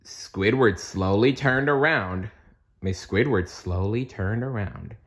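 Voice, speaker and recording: A man's voice: on the low side, takes it slowly, a bit bright. A man reading a storybook aloud as narrator, expressively and with playful asides. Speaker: storybook reader.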